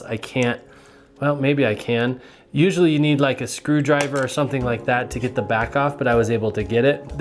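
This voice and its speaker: A man giving a casual, hands-on product review in a plain-spoken, explanatory way. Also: mid-range voice.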